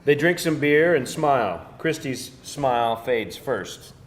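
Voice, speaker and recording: A man's voice: mid-range voice, expressive, takes it slowly. A man reading scripted stage directions as the narrator of a screenplay table read.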